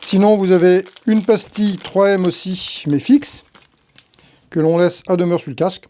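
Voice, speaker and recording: A man's voice: high voice, fairly even intonation, moderate pace, dark tone, slight background noise. A man giving an informal hands-on tutorial, explaining each step conversationally and thinking aloud as he handles the gear. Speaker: tutorial host, tree-work professional.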